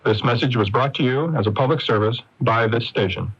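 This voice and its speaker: A man's voice: medium pitch, brisk. A man reading a scripted public service tag as an announcer. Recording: dull old radio with faint noise.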